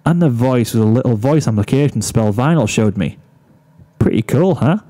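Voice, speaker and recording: A man's voice: mid-range voice, lively intonation, a little fast, close to an everyday voice. A man voicing a playful, affectionate young mare pony with a coy, teasing manner in a storybook reading. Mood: casual pride. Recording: soft guitar music behind.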